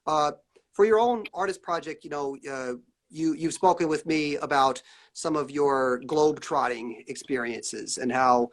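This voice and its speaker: A man's voice: a little high, a little slow. A man hosting a live-streamed interview, talking loosely and rambling with many fillers such as "uh" and "you know". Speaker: interview host.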